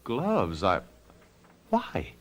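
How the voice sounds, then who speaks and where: An actor playing a guilty, nervous husband in a radio mystery drama, with a defensive manner. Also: male voice, fairly low pitch, huge pitch swings, drawn-out words, fairly bright tone, exaggerated voice. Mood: flustered, defensive. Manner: hesitant and defensive under questioning, stammering. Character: guilty, nervous husband who murdered his wife.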